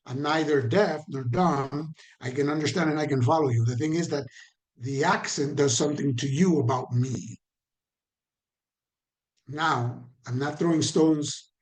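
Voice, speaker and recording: A man's voice: medium pitch, a little slow. Caribbean-accented man teaching a training. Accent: Caribbean Spanish. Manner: conversational, asks the audience questions, repeats for emphasis. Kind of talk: online training talk.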